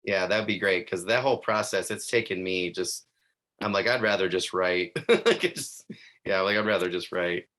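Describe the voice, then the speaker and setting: A man's voice: low voice, normal speed, fairly bright tone. A man hosting a podcast interview, enthusiastic and laughing often in casual chat. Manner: enthusiastic, laughs often, says "super cool". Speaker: podcast host.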